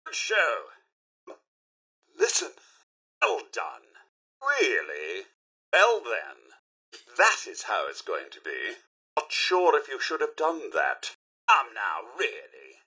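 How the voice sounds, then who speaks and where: A man voicing a fussy, loyal robot butler in a video game, with a British accent, a raspy edge and a formal, pompous, theatrical delivery. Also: mid-range voice, wildly swooping pitch, takes it slowly, pauses often, bright, forceful tone, full character voice. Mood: disappointed, disapproving. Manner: formal, pompous, deferential, exclamatory. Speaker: companion servant in a video game.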